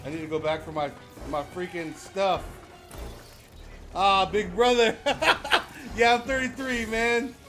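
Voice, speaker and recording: A man's voice: sits high, fairly even intonation, unhurried, fairly bright tone. Laid-back chatty male streamer in his thirties. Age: 30s. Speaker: game streamer. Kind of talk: casual gaming livestream chat. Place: home room, indoors. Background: game music and gunfire.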